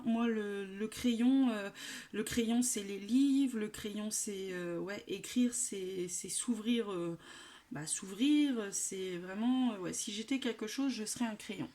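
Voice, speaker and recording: Thirties woman just chatting on her youtube channel, super informal, rambles and repeats herself. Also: medium pitch. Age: 30s. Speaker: YouTube creator. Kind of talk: casual vlog chat, answering a tag questionnaire.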